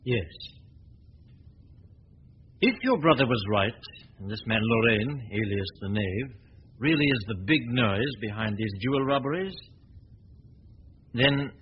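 An actor playing a suave, confident amateur detective in a 1940s radio drama, talking in a breezy, teasing, self-assured way. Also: male voice, on the low side, expressive, a little slow, pauses often. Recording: dull old broadcast recording with faint hiss.

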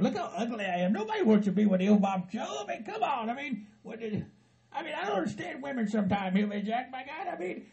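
A man playing a frantic aging hillbilly husband with a Southern hillbilly accent, who stammers, rambles and laughs through his words while yelling and cussing. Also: full character voice. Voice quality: high-pitched and gravelly. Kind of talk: comedy sketch.

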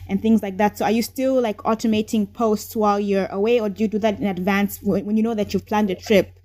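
A woman from Zambia hosts the conversation and asks her guest questions. Her voice is mellow and warm, and she hesitates often, filling gaps with "um"s and backing up to restart her sentences. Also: medium pitch, fairly even intonation, fairly quick. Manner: hesitant, many "um"s and restarts, frequent "mm-hmm". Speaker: podcast host. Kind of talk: interview questions.

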